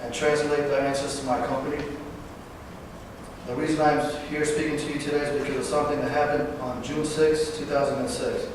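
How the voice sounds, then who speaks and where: A man in his twenties from Northern California, a veteran giving a personal talk, halting at times with fillers and self-corrections. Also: fairly high pitch, flat delivery. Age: 20s. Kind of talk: personal speech read to an audience. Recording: distant, echoey hall recording with background noise.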